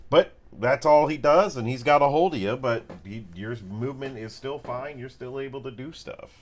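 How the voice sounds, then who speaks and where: A man's voice: mid-range voice, normal speed. A man acting as game master, improvising descriptive narration of a tabletop game mixed with informal, sweary chat.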